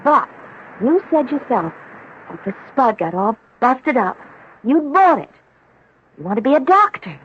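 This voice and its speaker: High, lilting young American heiress. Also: female voice, big pitch swings, measured pace, pauses often, slightly rough, heavily acted. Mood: coaxing, playful. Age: young adult. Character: pampered, coaxing young heiress, playful and determined. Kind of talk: 1970s radio drama acting. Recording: dull, noisy vintage radio recording.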